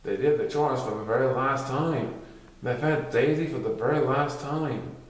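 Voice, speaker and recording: A man's voice: mid-range voice, moderate pace. A man reads a children's picture book aloud, sometimes stumbling over words and going back over them. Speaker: story reader. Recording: very echoey, reverberant room.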